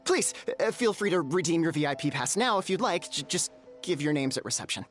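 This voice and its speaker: A jittery bathhouse owner in a game, voiced by an actor, constantly apologizing and eager to please. The pitch swings lively with nerves. Faint game music sits behind. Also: fairly quick, close to an everyday voice. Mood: flustered. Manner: apologetic, nervous, overly polite. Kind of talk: game voice acting.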